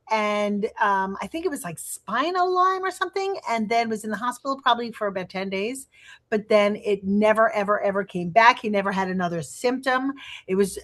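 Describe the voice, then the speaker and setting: A woman taking part in a group video call, asking a question in a chatty, rambling way full of fillers. Her voice is bright and forward at a middle pitch. She is heard over a video call. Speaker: participant. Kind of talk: casual chat.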